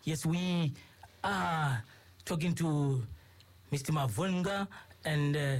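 A man's voice: on the high side, slow pace. A man hosting a radio interview, speaking formally and courteously, with many fillers and repeated phrases. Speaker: radio host. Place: radio studio.

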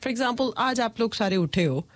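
A middle-aged woman who hosts a casual family chat, talks quickly, switches between English, Hindi-Urdu and Punjabi, and laughs often. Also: on the high side, lively intonation, no echo. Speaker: host. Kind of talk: casual family chat about home languages.

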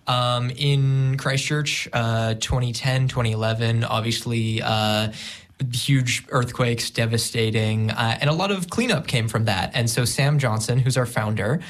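Young male student guest, Canadian accent, mid pitch, loose conversational delivery. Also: fairly even intonation, unhurried. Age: young adult. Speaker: student guest, volunteer group officer. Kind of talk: casual interview chat. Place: radio studio.

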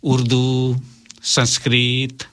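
Male interview guest, native Nepali speaker, mid-pitched voice, earnest and formal delivery. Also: completely flat delivery, extremely slow, a bit bright. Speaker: interview guest, community worker and officiant for migrants and refugees.

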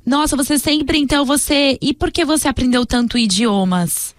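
Woman interviewer, bit high voice, super enthusiastic, dry close sound. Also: moderate pace, no echo. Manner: enthusiastic, effusive with praise.

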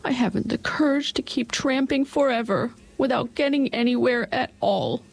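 A woman's voice: on the high side, animated, moderate pace, gravelly, husky, voice pushed up, exaggerated voice, poor audio. A woman voicing a cowardly male lion who whimpers as he talks. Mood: whimpering.

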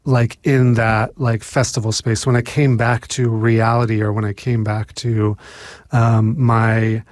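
A man's voice: medium pitch, little pitch movement, a little slow, a little mellow, no room sound, studio quality. A man in his forties with an American accent, telling his recovery story in a casual, hesitant way with many fillers and restarts. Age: 40s. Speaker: speaker sharing recovery story. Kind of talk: casual personal share.

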